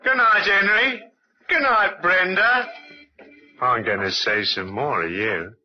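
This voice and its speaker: An actor playing a sly, two-faced young shop assistant turned crook in an old radio play. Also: male voice, sits high, slow pace, faint music behind. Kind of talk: old-time radio drama acting. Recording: dull old radio recording.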